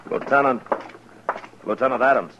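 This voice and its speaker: An actor playing a steady, terse frontier marshal with measured authority in a 1950s radio western. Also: male voice, medium pitch, noisy background, natural voice. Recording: muffled old 1950s radio recording.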